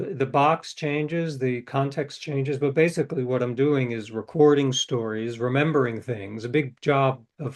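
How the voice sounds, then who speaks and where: A middle-aged man, a novelist guest in an interview, reflecting in a relaxed, storytelling way with frequent restarts. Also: medium pitch.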